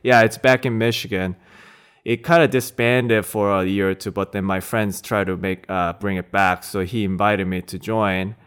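A Korean-born man in his thirties, talking as an interview guest in a relaxed, reflective way with many fillers. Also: fairly low pitch, moderate pace, clean tone. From South Korea. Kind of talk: casual conversation.

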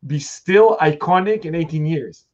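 A man's voice: fairly high pitch, measured pace, highs cut off. A man hosting an interview, talking casually with frequent fillers and restarts. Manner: relaxed, rambling with restarts and fillers.

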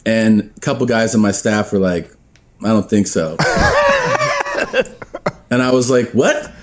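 A man telling casual stories as a podcast guest, animated and profane, laughing often. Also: lowish voice, measured pace, heavy background noise. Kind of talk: casual storytelling.

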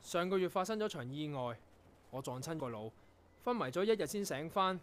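A man acting in a Cantonese radio drama as an earnest, proud young composer, telling things in a reflective, measured way. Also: fairly high pitch, animated, fairly bright tone. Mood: explaining. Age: young adult.

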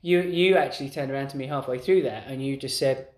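A man co-hosting a podcast, talking in a casual, animated way and acting out the voices he quotes. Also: medium pitch, fast talker. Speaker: podcast co-host. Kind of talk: casual conversational chat. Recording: roomy echo.

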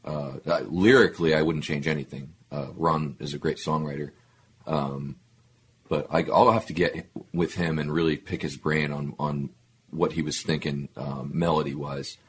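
Male baritone guest, very low and husky, laid-back halting speech. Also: fairly even intonation. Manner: halting, many fillers and restarts. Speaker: guest musician. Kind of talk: casual interview chat.

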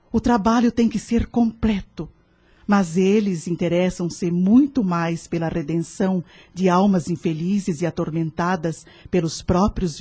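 A husky, serene spiritual guide. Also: female voice, on the low side. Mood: serene instruction. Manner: didactic, formal, gently consoling. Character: serene, wise woman leading a spiritist prayer group. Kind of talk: radio drama acting.

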